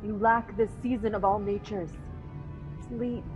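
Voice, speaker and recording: A woman's voice: mid-range voice, fairly even intonation, a little slow, dull audio, music in the background, lightly acted. A woman playing Lady Macbeth, a cool, commanding queen, in a radio drama with a firm, clipped delivery. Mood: urgent.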